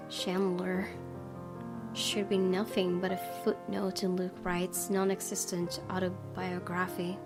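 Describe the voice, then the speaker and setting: A woman reading a visual novel aloud as a let's play host, in measured, earnest dramatic narration. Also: mid-range voice.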